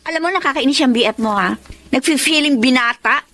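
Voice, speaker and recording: A woman's voice: high voice, a little fast, sharp tone. A woman acting a blunt, sassy young nursing student in a Tagalog radio drama. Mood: indignant, blunt. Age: young adult. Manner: brash, indignant, matter-of-fact.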